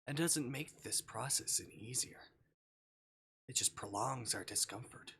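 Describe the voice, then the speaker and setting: A man voicing a calm, coldly clinical and patronizing male kidnapper in a visual novel game, flat and measured. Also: mid-range voice, slight background noise. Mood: cold, controlled.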